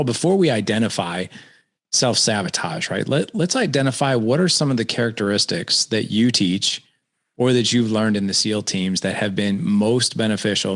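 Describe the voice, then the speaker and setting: Low-voiced man in his forties hosting. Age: 40s. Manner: energetic, repeats words, asks leading questions. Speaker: podcast host. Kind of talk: casual interview chat.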